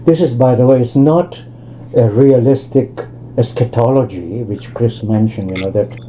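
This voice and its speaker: A man's voice: medium pitch, normal speed, warm tone, a little hiss or hum. An older man from Tanzania giving an academic lecture over a video call, speaking discursively with many asides and restarts. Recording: lo-fi online call audio.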